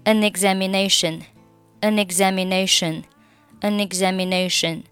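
A woman teaching English pronunciation, reading dialogue lines and explaining sound linking with slow, careful repetition, a Mandarin speaker. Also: mid-range voice, a bit bright, clean tone, no room sound. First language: Mandarin Chinese.

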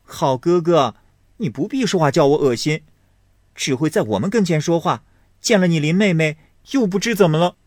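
A man voicing a lively, outspoken teenage girl in an audiobook reading of a classic novel, quick and teasing in a raised voice. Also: no echo, clean studio sound. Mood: teasing, indignant. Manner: quick, teasing, pitched high.

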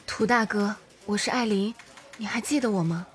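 A woman playing an earnest, gentle young woman who works at a command centre in an audio drama. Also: mid-range voice, moderate pace, natural voice. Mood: earnest, pleading.

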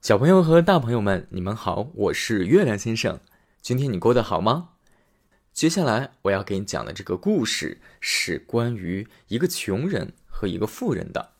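A male storyteller reading a classic fairy tale aloud for children. His friendly delivery rises and falls a lot in pitch, keeping the telling lively. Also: normal speed. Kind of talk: bedtime story narration.

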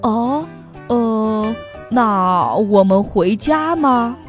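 A woman voicing a gentle, soft-hearted rooster dad who sighs and hesitates fondly, in a children's storybook reading. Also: fairly high pitch, animated, drawn-out words, faint music behind. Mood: flustered. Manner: sighing, hesitant, fond.

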